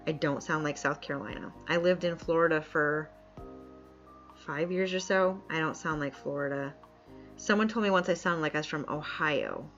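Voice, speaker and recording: A chatty woman streaming a game, talking loosely and laughing often. Her voice sits a little low and comes through a dull, lo-fi mic. Faint music plays under her. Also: moderate pace, fairly bright tone. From upstate New York. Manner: chatty, laughs often, reads chat aloud. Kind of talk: casual live-stream chat while playing.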